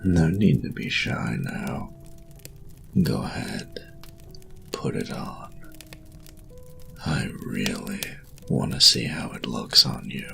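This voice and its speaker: Guy acting as a cocky flirty bully, super low voice, slow taunting drawl that swoops around a lot, intimate audio roleplay. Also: big pitch swings, sharp tone, soft background music, exaggerated voice. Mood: smug, teasing. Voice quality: gravelly, often dropping to a whisper. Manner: taunting, breathy, drawn-out delivery.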